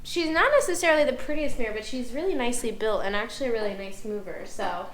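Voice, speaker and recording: A woman's voice: a little high, fast, roomy sound, slight background noise. A woman with a head cold and a congested voice, chatting casually in a vlog while caring for horses and talking sweetly to them. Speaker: vlogger and horse rider. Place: horse barn.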